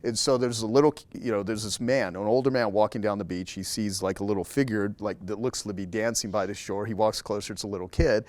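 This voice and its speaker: A man's voice: fairly low pitch, fairly even intonation, brisk, few pauses, a little mellow, no room sound. A man speaking as a guest in a casual interview, talking emphatically and repeating key words to drive a point home.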